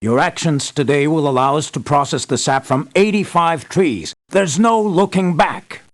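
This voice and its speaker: An actor playing an earnest, measured male leader giving formal, deliberate briefings in a scripted video game. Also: a little high, lightly acted. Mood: satisfied, formal. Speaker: mission briefer.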